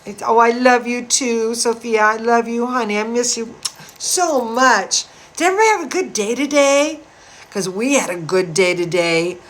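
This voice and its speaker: A woman reading a picture book aloud to young children, gushing and expressive, with chatty asides to her listeners. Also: fairly high pitch, moderate pace. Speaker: dance teacher hosting a children's story time.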